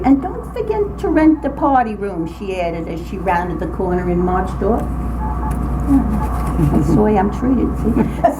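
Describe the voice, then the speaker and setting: An older woman reads her own humorous personal story aloud in an expressive, dramatic way, with emphatic phrasing. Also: fairly high pitch. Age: older, grandmother. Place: indoors, writing group meeting room. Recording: very noisy small-group room recording. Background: clear background noise and room ambience.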